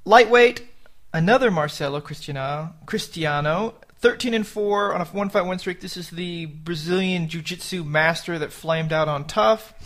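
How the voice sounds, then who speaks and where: A man's voice: fairly high pitch, moderate pace, a bit bright. A man co-hosting a casual sports podcast, joking and teasing and often laughing at his own gags. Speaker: podcast co-host.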